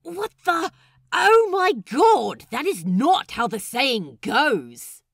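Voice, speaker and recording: Casting: fussy young pirate scribe played by a woman, sassy, emphatic, raised and swooping pitch, storybook comedy. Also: very animated, slow, creaky, fairly bright tone, dry recording, studio quality, exaggerated voice. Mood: exasperated. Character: exasperated, fussy young male pirate ship scribe, quick-witted.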